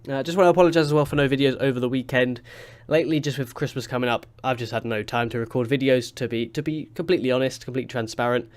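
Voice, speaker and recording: A man's voice: medium pitch, steady tone, racing. A man with a stuffy, blocked-up voice from a cold, chatting casually and rambling as he comments on his football management game. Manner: chatty, rambling with fillers and restarts. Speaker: gaming YouTuber. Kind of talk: casual let's-play commentary.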